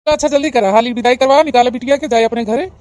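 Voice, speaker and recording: A woman's voice: a little high, fast talker, a little mellow, lightly acted. A woman voicing an impatient village palanquin bearer in a comedy cartoon, nagging and hurrying with an Awadhi accent.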